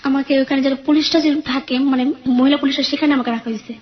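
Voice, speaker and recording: A woman's voice: high-pitched, steady tone, fast, highs cut off. A young woman with a Bangladeshi accent giving a personal account as an interview guest. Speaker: guest telling her life story.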